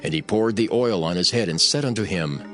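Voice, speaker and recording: A man narrating scripture for a dramatized audio production in a measured, declamatory manner. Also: lowish voice, a bit bright, soft background music.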